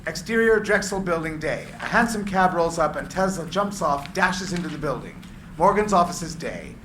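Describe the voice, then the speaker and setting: A man's voice: on the high side, expressive, moderate pace. A man reading stage directions as scripted narration for a screenplay. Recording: echoey room with clear background noise.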